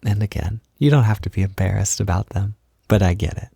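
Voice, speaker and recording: A man playing a gentle, affectionate boyfriend in an intimate roleplay audio, speaking softly and soothingly, with unhurried reassurance. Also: fairly low pitch, creaky, breathy edge, no room sound, studio quality. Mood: encouraging, reassuring. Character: gentle, affectionate boyfriend comforting his insecure partner. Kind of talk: intimate roleplay audio, soft-spoken ASMR.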